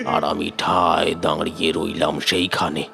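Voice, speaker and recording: A man playing a sorrowful, haunted man who narrates his cartoon horror story with drawn-out suspense. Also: very deep voice, level tone, vocal fry, over music, full character voice. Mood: fearful, suspenseful. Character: sorrowful, frightened man recounting his ghostly lost love.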